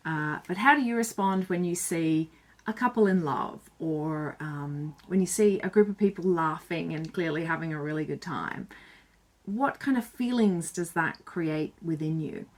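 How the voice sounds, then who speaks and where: Woman vlogger, lower pitch, earnest and chatty, talks straight to camera giving tips. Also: normal speed. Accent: none Irish. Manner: earnest, chatty and reflective, with some fillers. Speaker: self-styled joy bringer.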